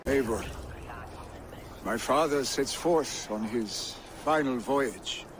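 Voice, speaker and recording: A man playing a restless young Viking warrior, a grieving jarl's son, in a video game cutscene, speaking in an earnest, measured way. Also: mid-range voice, noisy background, natural voice. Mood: weary, solemn. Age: young adult.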